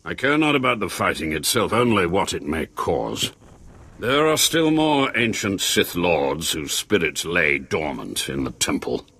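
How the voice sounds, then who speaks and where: Husky, deep Sith lord. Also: male voice, sing-song, measured pace, pushed and bright, exaggerated voice. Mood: grave, ominous. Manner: formal, theatrical, ominous. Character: grave, anxious Sith lord scholar, older man. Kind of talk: video game cutscene acting.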